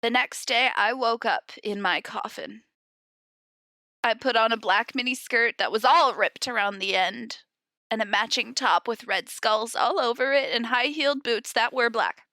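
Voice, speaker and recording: Bright, high-voiced woman podcaster. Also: normal speed, no echo. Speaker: podcast co-host. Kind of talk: casual chat and giggly fanfiction read-aloud.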